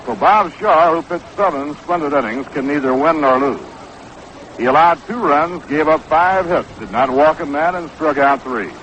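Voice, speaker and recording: American guy doing 60s radio baseball play by play, voice a bit high, kinda dull old recording w some hiss. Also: normal speed, fairly bright tone. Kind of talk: 1960s live radio baseball commentary. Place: outdoor ballpark broadcast booth. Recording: dull, noisy 1960s AM radio broadcast.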